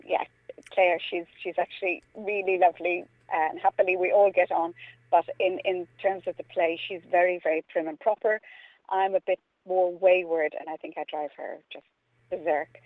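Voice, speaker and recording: A woman's voice: lowish voice, no room sound, phone-quality sound. A woman from Limerick with an Irish accent, chatting playfully and ramblingly as an interview guest. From Limerick, Ireland. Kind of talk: casual conversational interview.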